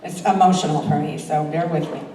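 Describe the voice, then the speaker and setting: A woman's voice: deep voice, steady tone, a little fast, mellow. Older woman reciting in a very echoey hall. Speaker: amateur poet reading own poems. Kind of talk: introducing and reciting poetry to a live audience. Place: hall at a church talent show. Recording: very echoey hall, distant mic, faint noise.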